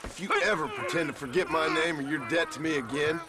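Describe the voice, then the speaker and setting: An actor playing a dry, sarcastic American gunslinger in a video game cutscene. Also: male voice, a little high, quick pace, crowd noise, natural voice. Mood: stern contempt. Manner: dry, sarcastic, plain-spoken threats. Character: gruff, sardonic American gunslinger, a menacing dry-witted man.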